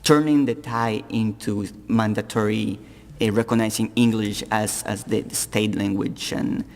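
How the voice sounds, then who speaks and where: A male panelist from Colombia with a Colombian Spanish accent, a native Spanish speaker, giving halting remarks at a public forum and often repeating words. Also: on the low side, fairly even intonation, vocal fry, big-room sound, slight background noise.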